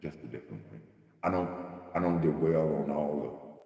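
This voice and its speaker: A man's voice: very deep voice, moderate pace, creaky, mellow, very echoey, big-room sound, dull audio. A man hosting a livestream talk, speaking in a preachy way that builds to impassioned emphasis, his voice wearing out. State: losing his voice. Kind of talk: casual spiritual conversation.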